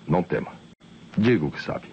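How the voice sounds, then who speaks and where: Radio serial, male: deep Brazilian police aide, formal, dependable, on a muffled, faintly noisy old recording. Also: lively intonation, a little fast. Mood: formal, steady. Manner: formal, reassuring, deferential. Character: steady, dutiful male police commissioner, the inspector's aide. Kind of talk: vintage Brazilian radio mystery acting.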